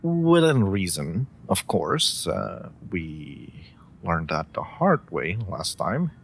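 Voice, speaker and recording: A man's voice: sing-song, takes it slowly, creaky, breathy edge, full character voice. A man playing a polite, theatrical supervillain in a scripted audio roleplay, speaking courteously with hesitant asides and faint menace. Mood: businesslike, confiding. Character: polite, theatrical male supervillain interviewing a job applicant. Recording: faint background ambience and rumble.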